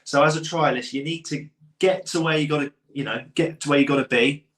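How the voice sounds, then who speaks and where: A man's voice: fairly high pitch, fairly even intonation, brisk, fairly bright tone. Chatty man in a roomy space. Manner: chatty, loose, laughs often. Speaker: podcast co-host. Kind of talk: casual podcast chat. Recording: roomy echo.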